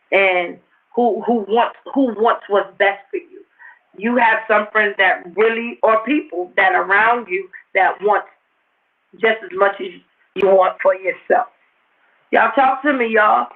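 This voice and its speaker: Phone-thin, raspy woman giving a motivational talk. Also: medium pitch. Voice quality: raspy, slightly hoarse. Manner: emphatic, repeats phrases for emphasis. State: a little hoarse. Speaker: host of a motivational talk show. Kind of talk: informal motivational livestream talk to viewers. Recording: tinny, phone-like narrow-band livestream audio.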